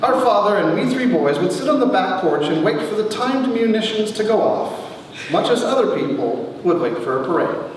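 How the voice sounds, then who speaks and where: Echoey, animated man reading wry memoir. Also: high-pitched. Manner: wry, theatrical storyteller with deliberate pauses. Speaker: author reading from own book. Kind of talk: dramatic comic memoir reading to a live audience. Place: large reverberant room, recorded from a distance. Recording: echoey hall, distant mic, faint noise.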